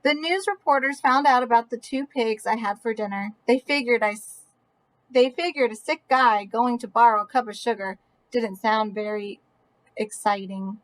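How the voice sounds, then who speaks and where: A woman's voice: fairly high pitch, normal speed, natural voice, low-quality recording. A woman voicing an indignant male wolf who tells his side of a children's storybook tale in a folksy, mock-innocent way. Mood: wronged, pleading. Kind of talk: children's picture book read-aloud.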